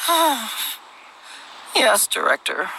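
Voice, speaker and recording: Resigned male assistant, hesitant, meek, game character, clear background noise. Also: very high-pitched, very animated, heavily acted. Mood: doubtful, resigned. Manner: halting, meek, sighing. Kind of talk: video game voice acting.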